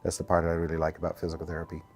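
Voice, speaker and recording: A man's voice: low voice, completely flat delivery, rapid-fire, a little mellow, faint music behind. A middle-aged man from the Netherlands with a Dutch accent, a native Dutch speaker, casually answering interview questions as a physical therapist and clinic owner.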